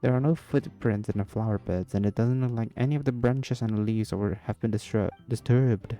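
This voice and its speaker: A man's voice: lowish voice, normal speed, warm tone, soft background music. A man doing casual, playful reaction commentary on a game, sometimes reading the on-screen text aloud. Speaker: gamer doing a playthrough.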